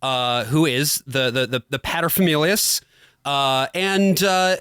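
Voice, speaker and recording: A man co-hosting a podcast, giving a casual comic recap in a halting, wry way. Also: a little high, a bit bright, clear, resonant tone, no room sound, clean studio sound. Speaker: podcast co-host.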